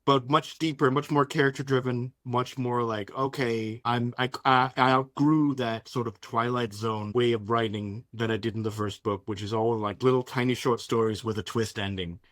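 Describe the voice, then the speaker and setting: A man's voice: medium pitch, steady tone, normal speed, hardly any pauses, a bit bright, dry recording. Danish man chatting, dry close sound. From Denmark. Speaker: author being interviewed. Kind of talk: casual conversation.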